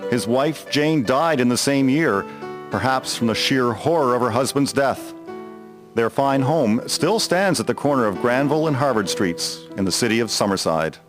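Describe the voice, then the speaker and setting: A man's voice: mid-range voice, lively intonation, moderate pace. A man narrating a scripted historical story as its storyteller. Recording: music behind the voice. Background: guitar music.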